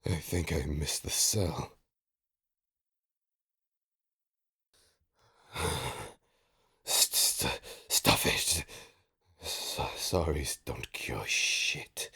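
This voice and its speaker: A man playing a bitter, taunting supervillain in an audio roleplay, with a raspy, gravelly voice and a sardonic, theatrical, halting delivery. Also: extremely low pitch, animated, sharp tone, exaggerated voice. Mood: hurt, defensive. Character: menacing, taunting male supervillain, bitter, grudgingly rehabilitating. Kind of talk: scripted audio roleplay drama.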